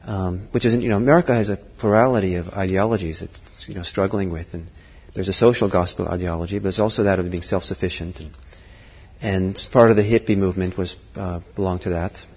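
A middle-aged man giving a Buddhist dharma talk, thoughtful and halting, with frequent fillers and restarts. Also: low-pitched, fairly even intonation, darkish tone, husky. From Norway. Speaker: Buddhist teacher. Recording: long hall reverb.

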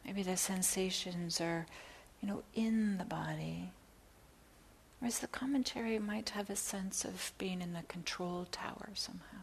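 Slow, hushed woman guiding a meditation. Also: fairly low pitch. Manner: soft, breathy, near-whispered delivery; gentle, unhurried, with long pauses. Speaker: meditation teacher. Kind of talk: guided meditation. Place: meditation center. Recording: clear background hiss.

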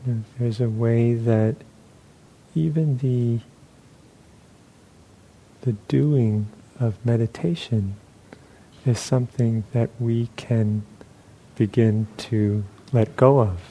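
A man leading a guided meditation as a teacher, speaking in short, unhurried phrases with long pauses between them. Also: medium pitch, fairly even intonation, dark tone, poor audio.

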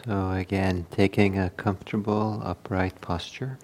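Slow-paced man guiding a meditation. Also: sits low, level tone, darkish tone, slight background noise. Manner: measured, with long pauses between short phrases. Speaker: meditation teacher. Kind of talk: guided meditation instruction.

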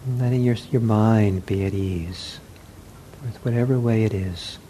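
A man teaching a guided meditation in gentle, soothing short phrases with long pauses. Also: on the low side, a little hiss or hum.

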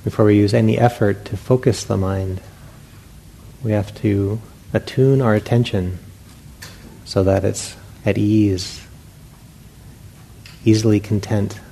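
Male meditation teacher, dark relaxed tone with gravelly fry, speaking gently in spaced-out phrases. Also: on the low side. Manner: unhurried, gentle guidance with long pauses. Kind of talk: guided meditation instruction to a group. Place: meditation hall with a group. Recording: faint background noise.

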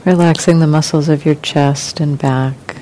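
A woman meditation teacher leading a guided meditation in calm, gentle, unhurried phrases with long pauses. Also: low-quality recording. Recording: clear rumbling background noise.

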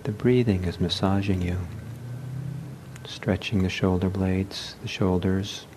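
A man leading a guided meditation as a teacher, speaking gently and unhurriedly with halting pauses. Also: steady tone. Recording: low rumbling background noise.

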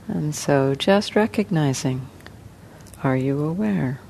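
A woman meditation teacher leading a guided meditation, speaking slowly and gently with long pauses. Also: sits low, animated, gravelly, slight background noise.

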